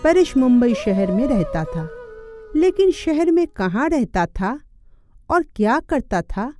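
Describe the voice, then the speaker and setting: A woman's voice: a little high, very animated, takes it slowly, mellow, faint music behind. A woman narrating a scripted moral story.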